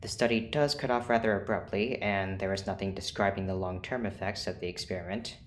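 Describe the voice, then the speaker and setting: An actor playing a formal, clinical research doctor in an audio drama, speaking in a precise, measured way. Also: sits low, fairly even intonation, a little hiss or hum, natural voice. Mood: clinical, cautiously optimistic. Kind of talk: scripted audio drama acting.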